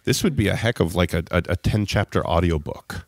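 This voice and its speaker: Low-pitched man hosting a casual podcast. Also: brisk, no echo. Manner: enthusiastic, rambling, repeats phrases for emphasis. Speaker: podcast host interviewing two guests. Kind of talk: casual, chatty interview.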